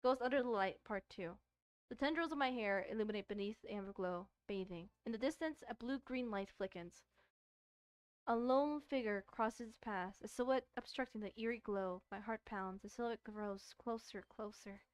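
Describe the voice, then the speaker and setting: A woman streamer reading a poem from a game aloud in a slow, dramatic style. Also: mid-range voice, smooth.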